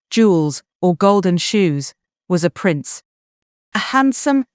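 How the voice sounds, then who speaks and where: A woman narrating a children's bedtime story in an expressive, scripted way. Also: mid-range voice, smooth, dry recording, clean studio sound.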